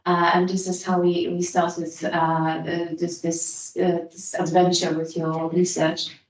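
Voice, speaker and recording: A woman hosting an academic lecture, giving an informal introduction in a halting way and often repeating words. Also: lowish voice, level tone. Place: room at a hybrid academic event. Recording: echoey room, distant microphone.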